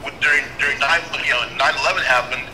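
A man from San Antonio, Texas, talks in a relaxed, unhurried way as a wrestling trainer being interviewed. His voice comes over a noisy, echoey remote connection, with steady hiss behind it and a roomy ring on every word. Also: medium pitch. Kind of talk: casual interview talk.

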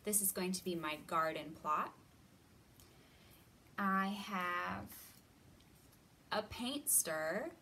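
A woman's voice: lowish voice, extremely slow, pauses often, pushed and bright, recorded from a distance, slight background noise. A woman in her thirties hosting storytime for children, giving simple instructions addressed to young listeners. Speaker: children's library storytime host. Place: indoor library room.

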